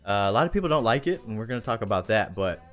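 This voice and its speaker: A man's voice: on the low side, big pitch swings, very fast. A man hosting a casual livestream chat in an enthusiastic, rambling, slangy way. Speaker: show host. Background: faint music.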